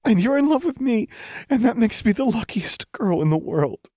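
Man voicing a tender, breathy young woman soldier. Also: extremely high pitch, animated, moderate pace, mellow, no room sound, clean studio sound, voice pushed up. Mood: tearful, adoring. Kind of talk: audiobook character dialogue.

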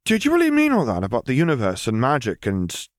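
A mildly baffled, easygoing schoolboy, as a male reader puts him on for a story. He sounds lighter and higher than the reader's own voice. His delivery is plain and a little hesitant. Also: wildly swooping pitch, fast talker, dry recording, clean studio sound. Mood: curious, unsure. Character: easygoing, slightly bewildered young schoolboy. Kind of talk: audiobook character voice.

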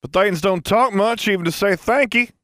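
A folksy Ozark backwoodsman with a rural dialect, as a man voices him. He speaks up high and loud against a low narrating voice. The recording is close and dry. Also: brisk, dry recording. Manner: folksy dialect, stern scolding.

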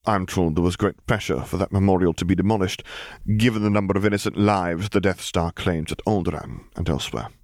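A man voicing an earnest, courteous male admiral who speaks in a formal, measured way, in an audiobook. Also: sits low, dry recording. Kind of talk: audiobook character voice.

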